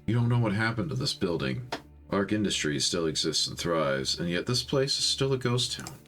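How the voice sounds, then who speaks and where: A man's voice: deep voice, sing-song, normal speed, fairly bright tone, faint music behind. A man hosting a storytime game playthrough, reading narration aloud and chatting casually. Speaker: host reading a visual novel aloud.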